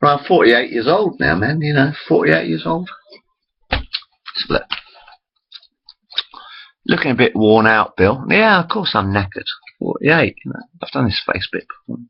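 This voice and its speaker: A man's voice: medium pitch, animated, a little slow, vocal fry, pauses often, slightly rough, dull audio. A man in his forties rambling chattily to his webcam, interrupting himself often. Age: 40s. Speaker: vlogger. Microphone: built-in computer camera mic.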